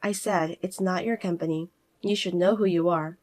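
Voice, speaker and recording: A woman voicing a calm, cool-headed young working woman who speaks quietly and firmly, narrating in the first person. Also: fairly low pitch, moderate pace, close to an everyday voice.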